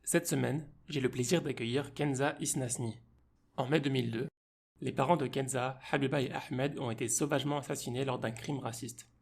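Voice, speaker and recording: A man hosting a podcast, reading a scripted intro and then asking questions in a calm, steady way. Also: mid-range voice, a little fast, gravelly. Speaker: podcast host.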